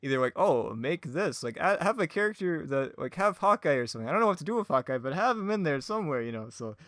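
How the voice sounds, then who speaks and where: A man's voice: fairly high pitch, very animated, fast, a bit bright, no room sound, studio quality. A man chatting casually as a podcast guest, rambling, giggly and self-deprecating. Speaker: podcast guest, audio drama creator. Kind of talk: casual chat.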